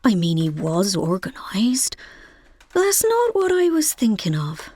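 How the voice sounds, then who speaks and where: Husky, scatty widow. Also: female voice, medium pitch, huge pitch swings, a little slow, a little mellow. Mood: wistful. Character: scatty, oddly matter-of-fact young widow. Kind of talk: comic audio drama acting.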